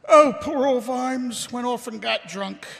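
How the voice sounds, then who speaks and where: An actor playing a maudlin, drunken city watch captain in live radio theatre, rambling and groping for words. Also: male voice, very high voice, slow pace, vocal fry, fairly bright tone, exaggerated voice. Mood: drunken, muddled. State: acted drunkenness. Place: live convention stage. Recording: reverberant hall stage.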